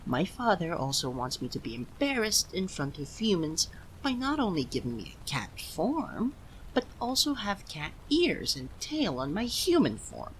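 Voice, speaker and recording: A man voicing a proud, easily flustered young cat-eared son of a nature deity in an ASMR roleplay, speaking haltingly to the listener. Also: high-pitched, wildly swooping pitch, fairly bright tone, slight background noise, heavily acted, rough recording. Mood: embarrassed, flustered. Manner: haughty yet flustered, halting.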